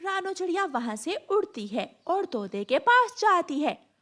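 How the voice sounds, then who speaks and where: A woman's voice: very high voice, sing-song, measured pace, fairly bright tone. A woman narrating a children's cartoon story as a scripted storyteller.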